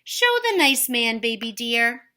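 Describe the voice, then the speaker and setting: A woman's voice: fairly high pitch, big pitch swings, slow pace, bright, forceful tone, full character voice. A woman voicing a clever giant's wife who coaxes and sweet-talks with a sugary smile. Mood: sweetly scheming. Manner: sugary, coaxing.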